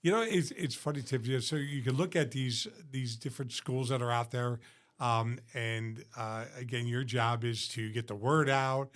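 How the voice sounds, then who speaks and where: A man's voice: mid-range voice, fairly even intonation, moderate pace, fairly bright tone. Man hosting a conversational podcast. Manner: conversational, prompting questions. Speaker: podcast host. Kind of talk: interview host.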